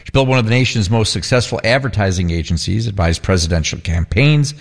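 Low-pitched male radio host, easygoing and chatty, quick to laugh. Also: lively intonation, vocal fry. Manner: friendly, chatty, laughs easily. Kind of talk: radio interview.

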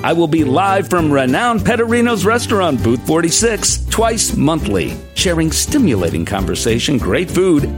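A male radio host reading his own promo in a fairly high, lively voice that swoops up and down. He leans on key words, and music plays underneath. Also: moderate pace, hardly any pauses. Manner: upbeat, emphatic.

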